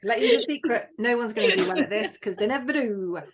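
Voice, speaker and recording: A woman's voice: on the high side, sing-song, fast talker. A woman hosting a podcast quiz, casual and playful, poking fun at herself. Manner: playful, self-deprecating. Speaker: podcast host. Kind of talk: casual chat, running a quiz.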